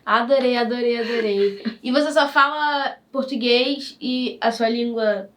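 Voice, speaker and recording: A woman's voice: on the high side, unhurried. A woman hosting a casual talk show, asking curious, encouraging questions. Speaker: host. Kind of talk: casual interview chat.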